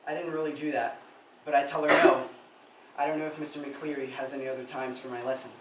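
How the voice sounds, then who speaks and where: A man's voice: mid-range voice, flat delivery, fast talker, natural voice. A young man performing a withdrawn, regretful teenager in a confessional dramatic stage monologue. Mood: subdued, regretful. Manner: subdued, confessional. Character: regretful, withdrawn teenager haunted by missing a funeral. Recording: echoey room, distant mic, noisy.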